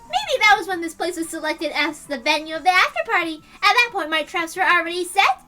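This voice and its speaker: A woman voicing a small, excitable, mischievous girl from an anime visual novel, squeaky and exclamatory. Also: fairly quick. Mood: cocky. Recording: music clearly behind the voice.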